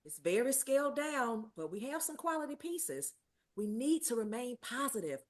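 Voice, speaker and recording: A woman's voice: fairly high pitch, very animated, moderate pace. A woman playing a positive small-town aunt who organizes a Christmas show, in a script table read. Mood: encouraging.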